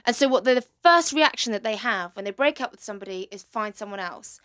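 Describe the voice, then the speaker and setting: A woman's voice: medium pitch, expressive, quick pace, a bit bright, dry recording, studio quality. A woman, an opinionated podcast co-host giving relationship advice in casual chat.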